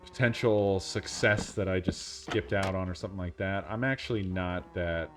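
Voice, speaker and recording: Male streamer, deep and monotone, speaks slowly, casual chat over light background music. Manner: monotone, unhurried talk. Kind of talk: casual chat while playing a game.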